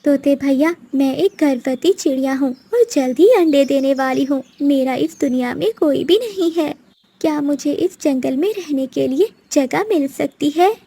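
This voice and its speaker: A woman's voice: high voice, normal speed, warm tone. A woman voicing an anxious, devoted young mother sparrow in a children's cartoon, earnest and plaintive. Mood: polite pleading.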